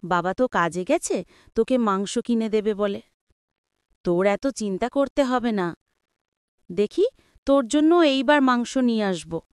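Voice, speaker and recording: A woman voicing a village mother in a cartoon story, patient yet sharp-tongued. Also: a little high, animated, measured pace, long pauses, smooth, no room sound, studio quality. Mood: soothing. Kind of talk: Bangla cartoon story dubbing.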